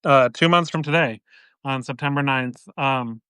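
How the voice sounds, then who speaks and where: Man, guest author, measured explanations, bright crisp voice. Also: medium pitch, dry recording. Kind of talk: interview answers.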